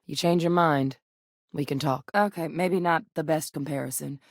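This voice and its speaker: Game officer, low voice, earnest, measured, direct, dry close studio sound. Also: female voice, steady tone, no room sound. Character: composed female scientist officer, earnest and principled. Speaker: game companion character. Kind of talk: video game voice acting. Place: recording studio. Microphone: close studio mic.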